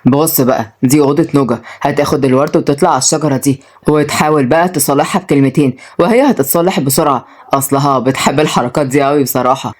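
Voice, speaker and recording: A woman's voice: extremely low pitch, racing, darkish tone, pitched below the performer's own voice. A woman voicing a sly, pushy young Egyptian man in a story, an impatient suitor. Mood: persuasive. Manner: scheming, pushy.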